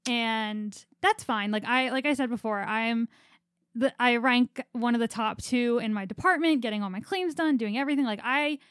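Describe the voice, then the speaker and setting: A woman's voice: on the high side, normal speed, a bit bright, clear, resonant tone, no room sound, studio quality. A young woman co-hosting a casual podcast, talking at length in a rambling, anxious way. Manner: chatty, rambling, anxious storytelling. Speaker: podcast co-host. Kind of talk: casual chat.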